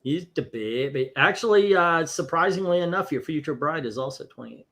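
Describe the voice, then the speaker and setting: A man in his fifties hosting a casual comic book chat, wry and quick to mock clunky dialogue. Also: a little high, animated, crowd noise. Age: 50s. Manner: wry, reads comic dialogue aloud mockingly. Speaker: comic book podcast host.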